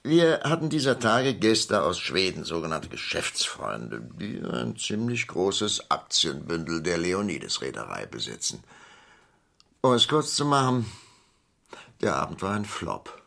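A wealthy, sly shipping businessman in an old crime radio play. The voice is very low and bright-edged, swinging widely in pitch, with halting, deliberate theatrical phrasing. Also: sing-song, vocal fry, exaggerated voice. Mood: rueful. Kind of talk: vintage German crime radio play acting.

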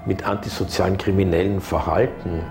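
A man speaking as a psychiatric expert in an interview, measured and clinical. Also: sits low, fairly even intonation, creaky. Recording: music behind interview.

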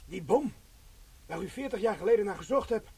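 An actor playing a nervous young soldier in a radio play. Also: male voice, sits high, lively intonation, fairly quick, darkish tone, husky, heavily acted. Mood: urgent. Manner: nervous, urgent. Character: anxious young male soldier, Fraser.

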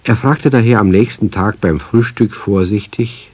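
A man narrating a children's radio play as a calm, measured storyteller. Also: fairly low pitch, husky.

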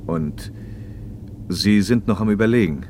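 An actor playing a paternal, probing factory manager in a radio play, speaking in a measured, slightly didactic way. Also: male voice, on the low side, darkish tone, a little hiss or hum, close to an everyday voice. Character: socialist factory manager, paternal, probing, talkative male official. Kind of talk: naturalistic German radio play dialogue.

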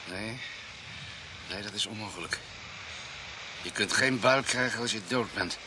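A man's voice: fairly low pitch, unhurried, background noise, close to an everyday voice. A man acting in a radio drama as a matter-of-fact police pathologist with a precise, analytical manner. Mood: measured, firm. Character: matter-of-fact male police pathologist, coolly reconstructing murders.

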